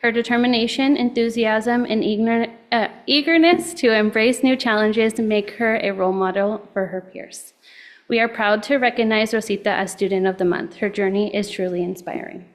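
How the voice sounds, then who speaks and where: A woman's voice: fairly high pitch, moderate pace. A woman reading a formal student award citation at a school board meeting. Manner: reads formal praise, steady. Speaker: staff presenter giving an award. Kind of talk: formal award presentation read aloud.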